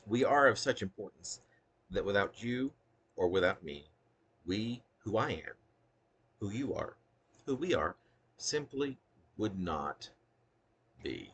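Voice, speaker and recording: Low, gravelly man reading poetry. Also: expressive, slow pace, pauses often, a bit bright. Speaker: poet reading own work. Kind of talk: spoken poetry reading with casual asides.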